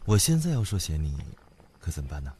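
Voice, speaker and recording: 一名男演员在广播剧里饰演一个沉稳宠溺、爱逗人的年长男友，说话温柔从容。. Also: male voice, a bass voice, sing-song, a little hiss or hum. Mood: teasing, doting. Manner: tender, unhurried, gently teasing. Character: calm, doting, teasing older boyfriend. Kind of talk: Chinese audio drama acting. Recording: music under the voice. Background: background music.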